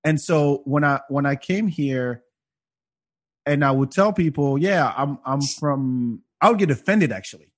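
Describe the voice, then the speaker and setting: A man who grew up in France, hosting a casual podcast and bantering with animated indignation. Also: on the high side, a little slow. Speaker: podcast host.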